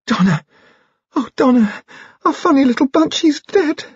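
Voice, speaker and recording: A man voicing an excitable, hot-tempered young stepdaughter in an audiobook, exclaiming hysterically. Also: high-pitched, measured pace, dry recording, dull audio, voice pushed up. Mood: shocked grief.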